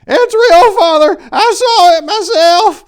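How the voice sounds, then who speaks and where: A man's voice: very high voice, takes it slowly, pitched above the performer's own voice. A man voicing a gullible, insistent old lady in a screechy, panicked voice for a short story reading. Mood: shrill panic.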